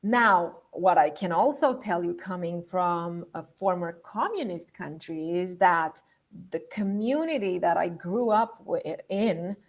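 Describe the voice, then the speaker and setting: A middle-aged woman from Romania presents a leadership masterclass over a video call. She speaks at an unhurried, easy pace. Her tone is conversational, and she thinks aloud with small fillers as she moves between points. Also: on the low side. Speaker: leadership coach.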